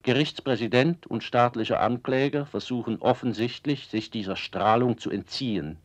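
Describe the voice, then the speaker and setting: A man's voice: mid-range voice, close to an everyday voice. Man playing a formal 1960s radio reporter. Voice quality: measured, formal reporter delivery.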